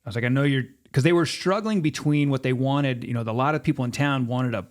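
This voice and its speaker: Male, 50s, medium pitch. Interview guest spinning anecdotes informally, voicing both sides of remembered exchanges. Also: fast talker. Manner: animated retelling with quoted dialogue. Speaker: podcast guest, former sports mascot performer. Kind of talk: casual storytelling in an interview.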